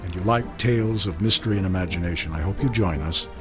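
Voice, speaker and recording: Guy host chatting to listeners, lowish voice, pauses between bits, faint music under him. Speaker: host and producer. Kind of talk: informal spoken host address. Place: outdoors in a churchyard.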